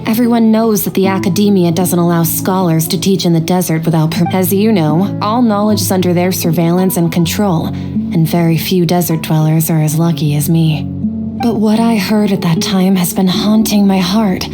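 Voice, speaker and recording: A woman's voice: fairly low pitch, steady tone, moderate pace, close to an everyday voice. A woman voicing an earnest, idealistic young desert scholar in a video game, speaking formally and articulately. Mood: reflective, determined. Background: game background music.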